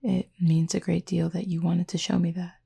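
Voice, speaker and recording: A reserved supernatural cupid played by a woman in an intimate audio roleplay. The voice is lowish for a woman, very creaky and husky, often close to a whisper. Speech is formal and measured, tender with a gently wry edge, and the pitch stays fairly flat. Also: heavily acted. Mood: tender, touched.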